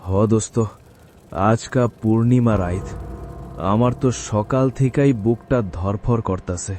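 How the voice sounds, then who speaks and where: A man's voice: slow, a little mellow. A man playing a cowardly, hesitant schoolboy sidekick in a horror audio drama, with a Bangladeshi Bengali dialect. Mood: uneasy. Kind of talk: acted audio horror story dialogue. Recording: noisy, vehicle rumble behind.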